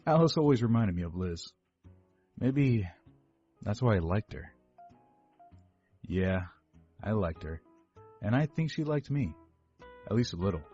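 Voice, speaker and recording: An actor playing an overweight eighteen-year-old rich kid giving a rambling, defensive first-person confession to police. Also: male voice, fairly low pitch, a little mellow, breathy edge, dull audio. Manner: rambling, self-correcting, defensive. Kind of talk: dramatic first-person monologue reading. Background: background music.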